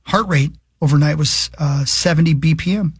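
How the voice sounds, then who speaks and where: A man's voice: fairly high pitch, normal speed, slightly rough, dry recording, studio quality. A man co-hosting a sports radio show, chatting and teasing his co-hosts in a casual, rambling way. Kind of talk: casual banter.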